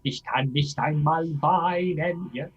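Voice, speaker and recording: A man's voice: on the high side, slow, highs cut off, a little hiss or hum. A man coaching a singer in a masterclass, explaining things and checking in with "yeah?" as he demonstrates lines himself. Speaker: vocal coach.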